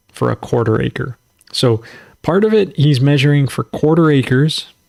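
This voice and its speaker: A man's voice: mid-range voice, gravelly, darkish tone, no room sound, clean studio sound. A man hosting a casual live farming Q&A, reading recipes aloud and rambling, often stumbling over numbers and foreign words with self-deprecating asides. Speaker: host of a natural farming livestream.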